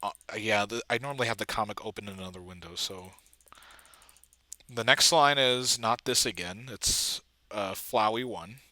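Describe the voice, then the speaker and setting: Bright-voiced man talking through video editing. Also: on the low side, no echo. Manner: halting, trailing off mid-thought. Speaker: amateur video editor making a tutorial. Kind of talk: casual screen-recording walkthrough, thinking aloud.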